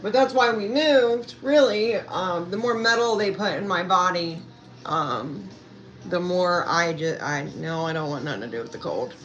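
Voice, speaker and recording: A woman's voice: lowish voice, animated, normal speed, dull audio, a little hiss or hum. A woman from Pennsylvania chatting casually with viewers at home, rambling and hesitant with lots of fillers. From Pennsylvania, USA. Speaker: self-care coach and vlogger. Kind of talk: casual live chat answering viewers. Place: at home indoors. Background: background music.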